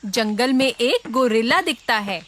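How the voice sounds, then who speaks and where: A woman's voice: on the high side, lively intonation, unhurried, a bit bright, slight background noise. A woman giving scripted narration of a children's story.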